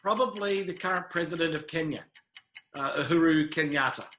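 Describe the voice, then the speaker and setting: A man's voice: a little high, fairly quick, a bit bright, a little hiss or hum. A New Zealand man in his fifties, giving short, dry, good-humoured interview answers with hesitant fillers. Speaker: interview guest, travel sales manager.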